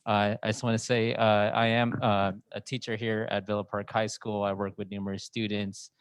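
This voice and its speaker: A man with a low, fairly even voice speaks on a video call. His tone is clear and smooth, and he introduces himself hesitantly, like a teacher feeling his way through it. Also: brisk, no echo. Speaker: high school teacher.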